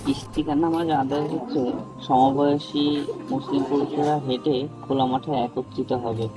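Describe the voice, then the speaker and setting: A man's voice: mid-range voice, fairly even intonation, moderate pace, lightly acted, poor audio. A young man playing a friendly, earnest student who explains religion to his friend in scripted cartoon dialogue, with music behind. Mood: earnest, instructive.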